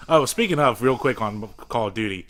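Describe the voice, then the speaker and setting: A man talks as a podcast co-host in loose, casual banter about games. His pitch sits in an ordinary male range, and he swears freely while poking fun at himself. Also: animated, fairly quick, a bit bright. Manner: profane, self-mocking.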